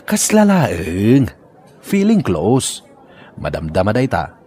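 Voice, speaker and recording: A cocky, sly young man with an Ilocano accent, played by a male actor in a comedy drama. His pitch jumps around a great deal as he talks. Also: wildly swooping pitch, slow pace, mellow, breathy edge, full character voice. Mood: sly, defensive.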